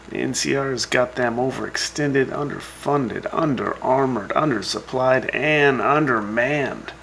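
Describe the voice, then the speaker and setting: A man's voice: mid-range voice, creaky, fairly bright tone, slight background noise, rough recording. A man hosting a radio show in casual DJ patter, with a laid-back, wry and folksy way of talking. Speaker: radio DJ host.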